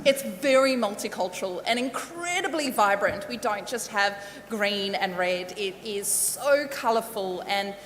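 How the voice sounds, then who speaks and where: A woman's voice: medium pitch, expressive, a little slow, hardly any pauses, a bit bright. South african woman sharing xmas memories, jokey, echoey with faint hum behind. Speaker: guest. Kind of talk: casual storytelling.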